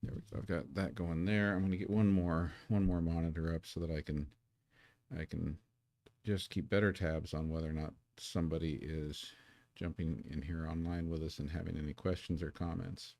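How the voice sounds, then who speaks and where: Deep gravelly man teaching live. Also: fairly even intonation, normal speed, darkish tone. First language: English. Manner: casual, self-deprecating, repeats words while setting up. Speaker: Korean language teacher. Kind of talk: live-streamed language lesson.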